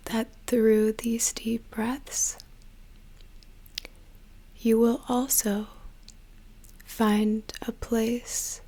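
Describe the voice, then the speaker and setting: ASMR host, young woman, unvoiced whisper, very slow and breathy, slightly high. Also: dark tone. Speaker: ASMR content creator. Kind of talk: whispered guided meditation.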